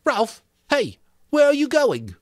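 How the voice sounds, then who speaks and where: A scornful, fussy boy played by a man grumbles through an audiobook scene. The voice sits well up in pitch and moves around animatedly, full of complaint. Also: wildly swooping pitch, slow pace, no echo, clean studio sound, full character voice. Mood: anxious. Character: scornful, fussy boy scolding childish companions. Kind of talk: dramatic audiobook character reading.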